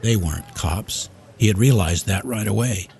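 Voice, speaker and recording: A man's voice: fairly low pitch, moderate pace, creaky, darkish tone. A man narrating a short story from a script in a dramatic reading style. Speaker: audiobook reader.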